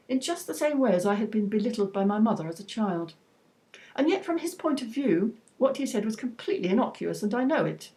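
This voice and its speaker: A woman with a warm, mellow voice reading her own personal essay aloud. She keeps a measured, reflective pace, with a touch of dry wit. Her pitch sits in an ordinary middle range. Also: rough recording.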